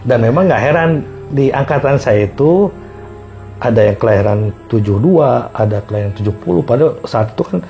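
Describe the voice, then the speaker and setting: A middle-aged man telling personal stories casually as a podcast guest, often pausing and repeating himself to find words. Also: mid-range voice, lively intonation, mellow, dull audio, soft background music. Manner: halting, repeats phrases as he searches for words. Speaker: podcast guest recounting past experiences.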